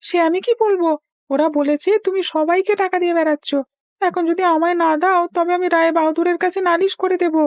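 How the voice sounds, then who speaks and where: A woman's voice: very high-pitched, fairly even intonation, normal speed, no echo, clean studio sound. A woman voicing a cheeky little village boy in a cartoon, insistent and complaining. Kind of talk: Bengali cartoon dialogue.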